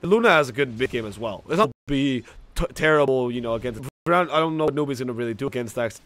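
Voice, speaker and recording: A man commentating a video game match on his own from a home setup into a desk mic, talking fast and restarting phrases. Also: mid-range voice, lively intonation, fairly bright tone. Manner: rapid, self-correcting, restarts mid-phrase. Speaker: esports commentator. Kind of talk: solo play-by-play game commentary. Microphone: desk microphone.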